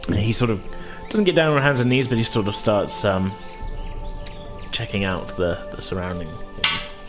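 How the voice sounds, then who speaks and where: A man narrating a tabletop game as game master in a halting, hesitant way. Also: on the low side. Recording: music playing behind the voice. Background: music.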